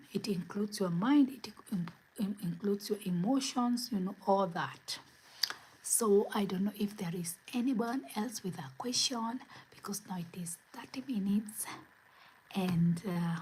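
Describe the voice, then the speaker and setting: A woman from Kenya hosting a spiritual livestream from home, speaking in gentle, repetitive affirmations. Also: medium pitch, measured pace, a little hiss or hum, rough recording. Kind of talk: inspirational livestream talk. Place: home, where it is late at night.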